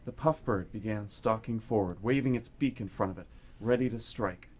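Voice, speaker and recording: A man's voice: on the low side, normal speed. A man reading scripted, dramatic story narration for a radio play.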